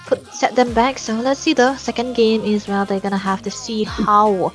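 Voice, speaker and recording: A woman's voice: on the high side, flat delivery, moderate pace, soft background music. A woman casting a live esports match, breaking down plays and draft picks in detail. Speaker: esports caster.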